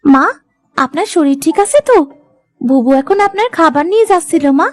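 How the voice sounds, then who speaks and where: Younger cartoon daughter-in-law played by a woman: extremely high, sweet, eager to agree, music behind. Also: lively intonation, normal speed, dry recording. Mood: attentive. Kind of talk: Bengali moral-story cartoon dubbing.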